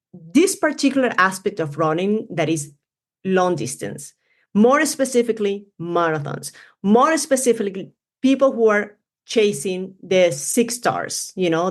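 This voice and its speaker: A woman from Venezuela with a Spanish accent, speaking English in a casual interview, rambling with many fillers. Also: mid-range voice. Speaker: running influencer guest.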